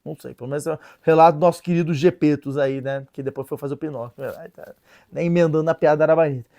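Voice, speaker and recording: Animated woman explaining with playful asides. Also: low voice, fast. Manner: reads and translates sources aloud. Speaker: religious video presenter. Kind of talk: casual explanatory lesson.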